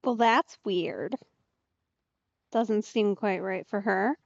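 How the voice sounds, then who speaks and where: A woman's voice: medium pitch, takes it slowly, lo-fi sound, slight background noise. A young woman casually commenting while playing a video game, chatty and giggly. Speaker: game streamer. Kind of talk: casual let's play commentary. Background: game music.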